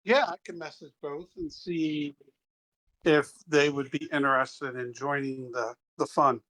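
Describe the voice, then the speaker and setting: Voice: mid-range voice, steady tone, a little slow, fairly bright tone, poor audio. A player in a tabletop game, chatting casually and thinking aloud with hesitations.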